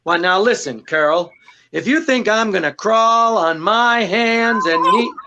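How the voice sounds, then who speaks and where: A man plays an unruffled, wry husband and detective from a 1940s radio drama. He speaks slowly and dryly, on the high side for a man. The recording is dull, with some background noise. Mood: defiant. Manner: dry, hesitant, wry. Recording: dull, noisy amateur recording.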